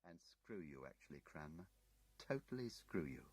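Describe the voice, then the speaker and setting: A pushy, mocking young agent, as a male audiobook reader puts him on, with a deep, husky voice pushed up from the reader's low register and a lively, swinging pitch. The delivery is jabbing and taunting. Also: a little slow, no room sound, full character voice.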